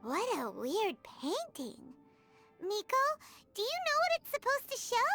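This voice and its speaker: A woman voicing a tiny, excitable, easily frightened fairy companion in a video game, whiny and expressive. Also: very high voice, unhurried, exaggerated voice. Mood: curious. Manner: refers to herself in third person, whiny, expressive. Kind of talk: video game character acting. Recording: game music behind the voice.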